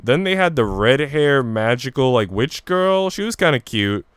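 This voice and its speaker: A man's voice: mid-range voice, animated, moderate pace, clean tone, dry recording, studio quality. A man livestreaming casually, talking to his viewers in informal, slangy language. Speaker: game streamer. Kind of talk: casual livestream chat, reading visual novel dialogue aloud.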